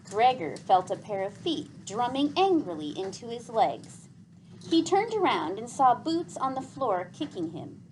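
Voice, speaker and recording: A woman storyteller reading a children's book aloud with expressive narration. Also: a little high, measured pace, slight background noise, low-quality recording.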